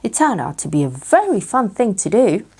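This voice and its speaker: A woman narrating a children's bedtime story as an expressive storyteller. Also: medium pitch, moderate pace, a little hiss or hum.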